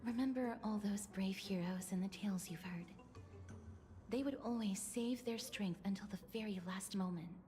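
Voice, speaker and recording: A woman voicing a calm, gentle young video game heroine in a soft, measured, reassuring manner. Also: mid-range voice, faint music behind, natural voice. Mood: gentle, grave. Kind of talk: English video game voice acting.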